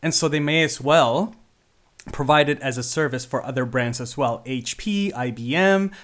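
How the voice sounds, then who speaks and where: A man's voice: mid-range voice, moderate pace, a bit bright. A man in his forties who grew up in the Philippines, talking casually to camera with enthusiasm and lots of fillers and restarts. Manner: enthusiastic, proud. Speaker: content creator.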